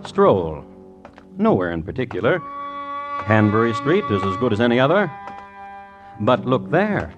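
A male narrator in 1950s radio style, mid-pitched but with lively inflection. He is formal, measured and dryly wry, with faint music behind him. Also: dull audio. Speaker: host and narrator.

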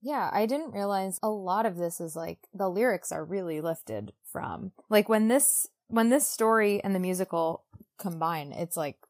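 A woman's voice: medium pitch, animated, normal speed, darkish tone. Female co-host for a book chat show, mid-range voice, chatty and gushing, very informal phrasing. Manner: chatty, gushing, frequent "like" asides. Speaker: podcast co-host. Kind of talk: casual book discussion podcast.

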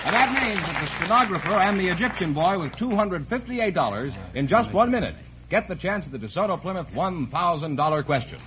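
A man announcing on a 1950s radio quiz show in a crisp, formal manner. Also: high-pitched, animated, fairly quick, over a noisy crowd, heavy background noise. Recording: dull old radio broadcast.

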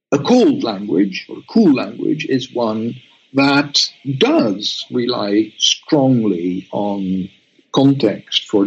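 A man's voice: fairly low pitch, husky, lo-fi sound. A man whose first language is Dutch, a guest researcher answering interview questions thoughtfully, with many restarts and tag questions.

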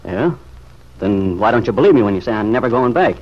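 An actor in an old radio drama playing a stubborn, bitter young war veteran with a sardonic, slangy way of talking. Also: male voice, fairly low pitch, animated, a little fast. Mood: defensive. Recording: dull, noisy vintage radio recording.

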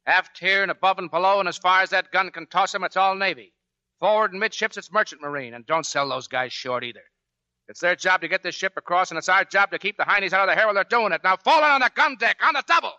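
An actor playing a tough, commanding American Navy chief in a 1940s radio drama, with gruff, slangy straight talk. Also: male voice, high-pitched, fast talker, bright, forceful tone, full character voice. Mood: brisk, commanding. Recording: dull, band-limited 1940s radio recording.

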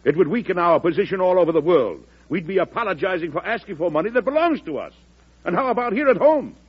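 An actor playing a stubborn, commanding president in an old-time radio drama, with firmness and indignation. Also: male voice, high-pitched, lively intonation, fast, husky. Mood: indignant. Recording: dull vintage radio recording.

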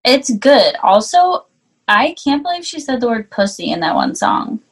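A woman co-hosting a casual music chat, relaxed and often answering in short replies. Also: on the high side, moderate pace, breathy edge. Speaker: co-host reviewing music.

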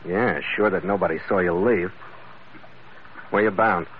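An actor playing a firm, weary American ship's master in a 1940s radio adventure, with a terse, hard-boiled delivery. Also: male voice, deep voice, unhurried, a little hiss or hum. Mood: stern, questioning. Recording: muffled old radio recording with loud music.

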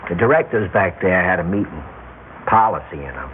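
A smugly unhurried mob collector with a low voice and lively, teasing delivery. He sounds genial with menace underneath, in casual gangster talk. It comes through like a narrow, tinny old radio show with faint noise. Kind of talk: classic radio drama acting.

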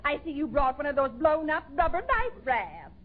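A woman's voice: sits high, sing-song, moderate pace. An actress playing a vain, gushing, flirty comic woman in 1940s radio comedy. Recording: thin, tinny old radio broadcast.

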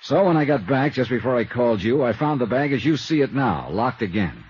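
A man playing a brisk, hard-boiled American insurance investigator in 1950s radio style, clipped and wry as he narrates and talks. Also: mid-range voice, big pitch swings, darkish tone, breathy edge. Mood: matter-of-fact. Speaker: lead actor and narrator of a radio detective series. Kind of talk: 1950s radio acting, scripted first-person narration. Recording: muffled vintage radio broadcast with music.

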